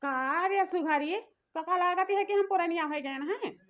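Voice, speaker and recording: Woman playing a high-pitched, complaining old village mother-in-law, phone-like sound. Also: moderate pace. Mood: indignant, boastful. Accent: Awadhi. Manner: complaining, lamenting. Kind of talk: rustic comic cartoon dialogue. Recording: muffled, phone-like narrow sound.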